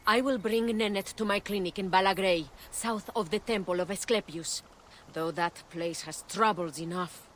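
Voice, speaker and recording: An actress playing a compassionate healer in a video game, speaking gravely and earnestly. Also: female voice, medium pitch, normal speed, fairly bright tone, a little hiss or hum, lightly acted. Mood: grave warning. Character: compassionate, earnest woman healer, troubled by war. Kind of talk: dramatic video game acting.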